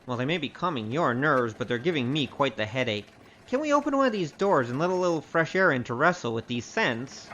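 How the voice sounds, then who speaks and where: A man's voice: mid-range voice, big pitch swings, brisk, a bit bright, dull audio, a little hiss or hum. A male actor playing a roguish, wry storyteller with a grandiose, theatrical manner in a comic audio drama. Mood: sardonic. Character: roguish, boastful storyteller, wry poor adventurer. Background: music.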